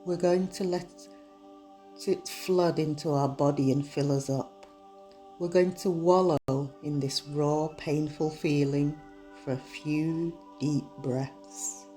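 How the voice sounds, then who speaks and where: A woman guiding a meditation in a soothing, measured, unhurried manner. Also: low-pitched, steady tone. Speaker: meditation guide. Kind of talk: guided meditation narration. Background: soft soothing music.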